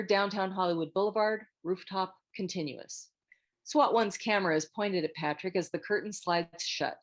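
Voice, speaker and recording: A woman reading scripted screenplay narration, stage directions and scene headings as a narrator. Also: on the low side.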